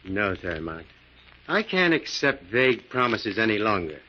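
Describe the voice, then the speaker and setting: A man's voice: lowish voice, sing-song, a little slow. A man playing a shrewd, menacing gambling club boss in a clipped, businesslike way, in an old radio crime drama. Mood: skeptical. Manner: clipped, hard-boiled, businesslike. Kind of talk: 1940s radio drama acting. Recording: dull vintage radio recording.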